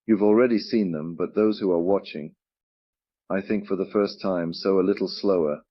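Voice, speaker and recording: Low-voiced chatty Russian-speaking man. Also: moderate pace, dry recording, muffled recording. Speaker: game project presenter. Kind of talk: casual livestream chat.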